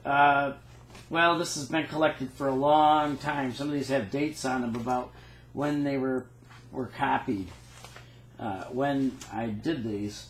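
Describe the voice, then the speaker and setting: A man walks through his notes in an easygoing, halting way, reading dates and patent text aloud. His voice sits at an ordinary pitch. The room around him is echoey, with a faint hum of background noise. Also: steady tone. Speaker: phonograph cylinder maker. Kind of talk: casual show-and-tell walkthrough.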